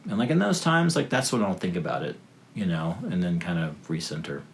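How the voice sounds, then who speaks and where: Deep-voiced man rambling with fillers. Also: lively intonation, fairly quick, vocal fry, slight background noise. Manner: rambling, many fillers and restarts. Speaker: guest, father of three. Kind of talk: casual podcast conversation.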